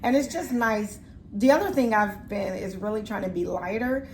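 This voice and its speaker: A woman's voice: mid-range voice, normal speed. Woman, forties, casual vlog chat, reflective, faint room noise. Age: 40s. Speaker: vlogger.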